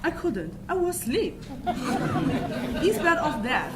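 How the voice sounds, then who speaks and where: A woman's voice: high voice, sing-song, slow pace, a loud crowd, exaggerated voice. A woman acting a blunt, dismissive, bored theatregoer in an amateur stage comedy. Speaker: student actor in a school play. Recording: echoey, noisy stage recording.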